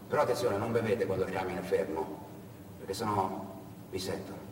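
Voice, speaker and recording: A man's voice: low-pitched, fairly quick, pitched below the performer's own voice, natural voice. A man voicing a bossy, bluff young truck driver in a stage storytelling, giving urgent instructions and banter. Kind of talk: theatrical storytelling. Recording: echoey hall, distant mic, background noise.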